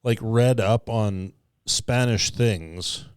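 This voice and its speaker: A man hosting a podcast interview, chatting in a curious, animated way and often chiming in to agree. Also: on the low side, very slow, clean tone, no room sound, clean studio sound. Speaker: podcast host. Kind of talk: casual interview chat.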